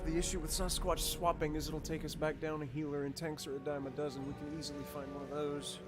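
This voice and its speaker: A man leading a video game raid over voice chat, giving clear step-by-step instructions to his group. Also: on the high side, flat delivery, fast talker. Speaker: raid leader and streamer. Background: loud game music.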